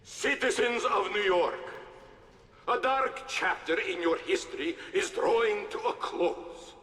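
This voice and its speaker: Reverberant, formal Soviet official. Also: male voice, high-pitched, lively intonation, pushed and bright, faint music behind, heavily acted. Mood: formal, controlled. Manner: formal, measured, diplomatic. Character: smooth, evasive Soviet official at a press conference. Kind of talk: press conference statement. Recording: reverberant press hall.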